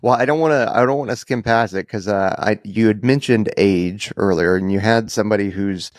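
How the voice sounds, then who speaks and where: A man's voice: fairly low pitch, fairly quick, no room sound, studio quality. A man co-hosting a podcast, asking a long question in a hesitant, rambling way. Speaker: podcast co-host. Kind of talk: casual podcast interview.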